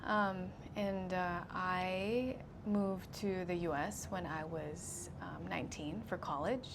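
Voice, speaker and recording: A woman's voice: on the low side, fairly even intonation, background noise. Earnest woman sharing her testimony. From grew up in Singapore. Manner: earnest, measured, laughs easily. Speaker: guest.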